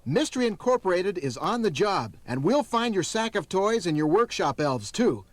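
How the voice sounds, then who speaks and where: An actor playing a confident, upbeat young gang leader in a cartoon audio drama, earnest and commanding. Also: male voice, high-pitched, sing-song, moderate pace, no echo. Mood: determined. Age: young adult. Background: music.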